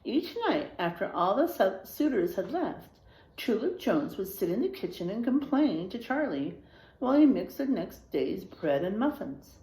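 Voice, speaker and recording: A woman reading a children's story aloud in a dramatic, animated narrating style. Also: mid-range voice, a little slow. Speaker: storybook reader. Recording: roomy, echoing sound.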